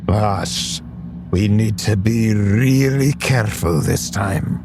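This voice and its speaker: Grave Irishman, loyal and protective, for audio drama, played by a man, unhurried and fairly deep, music underneath. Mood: grave, foreboding.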